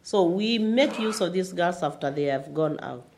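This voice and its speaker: A woman's voice: on the low side, lively intonation, normal speed, darkish tone, a little hiss or hum. A woman answering as a panelist in a plain, matter-of-fact way with short, simple sentences. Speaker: panelist, runs girls' safe houses.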